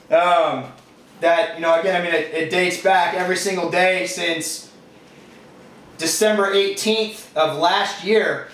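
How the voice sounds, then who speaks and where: A man's voice: sits high, a bit bright. A man in his twenties with a Californian accent, answering interview questions in a casual, rambling way with slangy phrasing. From California, USA. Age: 20s. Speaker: interviewee, fitness business owner. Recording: echoey, distant microphone.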